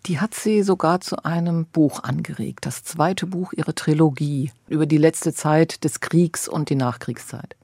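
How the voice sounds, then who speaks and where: An interviewer introducing books and putting questions in a measured, prepared literary style. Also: mid-range voice, a little mellow, slightly rough, dry recording.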